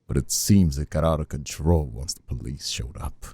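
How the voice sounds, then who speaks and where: An extremely deep, dark-voiced mob boss villain, performed by a man, smug and vengeful. He draws his words out slowly with theatrical, mocking menace. The voice is gravelly and husky, close and dry, as in an ASMR audio drama. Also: no echo, exaggerated voice. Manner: slow, theatrical, mocking drawl.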